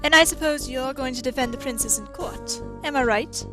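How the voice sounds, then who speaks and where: A woman's voice: high-pitched, a little fast, music in the background, lightly acted. A woman playing a haughty, sardonic robotics scientist who taunts slyly in a video game fandub. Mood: mocking.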